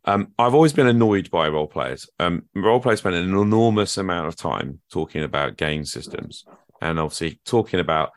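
A man hosting a podcast conversation, thinking out loud in a loose, musing way with plenty of ums. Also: low-pitched, animated, brisk, dry recording, clean studio sound. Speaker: podcast host. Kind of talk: casual conversation.

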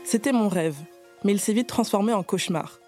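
A woman journalist narrating a personal, scripted account in a calm storytelling manner. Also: medium pitch, brisk, soft background music.

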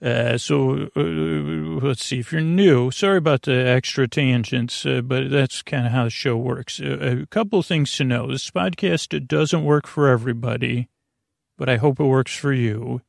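A man delivers a soothing bedtime monologue in a middle-pitched voice full of gravelly creak. He meanders and stumbles over his words, drifting from one tangent to the next. The sound is dry, with no room echo. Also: normal speed. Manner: meandering, hesitant, stammering with tangents. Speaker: host of a bedtime sleep podcast.

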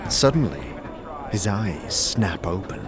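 A man narrating a scripted fantasy audio drama in a measured storytelling manner, also speaking as its protagonist. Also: deep voice, very animated, heavily acted. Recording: music under the voice.

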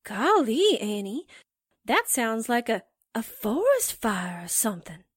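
A woman's voice: a little high, big pitch swings, measured pace, husky, dry recording, studio quality, heavily acted. A woman playing a flippant, slangy 1940s newspaperwoman in a radio drama. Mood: flippant, curious. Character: spritely, wisecracking 1940s newspaperwoman.

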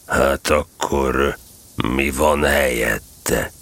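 A man voicing a gloomy old grey donkey in a storybook, with a gravelly, husky voice and a slow, mournful, brooding delivery. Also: very deep voice, steady tone, fairly bright tone, dry recording, voice pushed down. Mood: mournful, resigned.

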